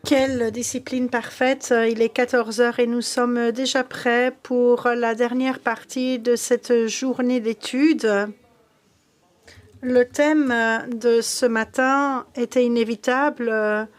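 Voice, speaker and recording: Une femme à l'belge anime une séance de conférence. Sa voix est plutôt aiguë, son débit lent et mesuré. Son ton reste presque plat. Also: female voice, a little high, little pitch movement. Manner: slow, measured, with some fillers. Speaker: moderator. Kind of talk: introducing a conference session. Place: conference room.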